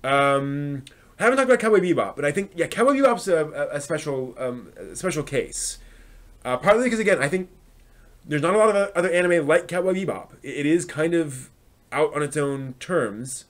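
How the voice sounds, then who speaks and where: A man's voice: a little high, expressive, a little fast. A man hosting a live stream, talking casually and answering viewers' comments in a hesitant, thinking-aloud manner.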